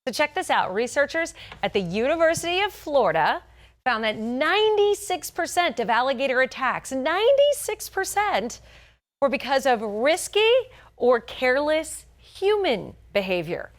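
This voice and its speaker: A woman's voice: high-pitched, big pitch swings, normal speed. Woman news anchor, polished and emphatic. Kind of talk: scripted morning news broadcast.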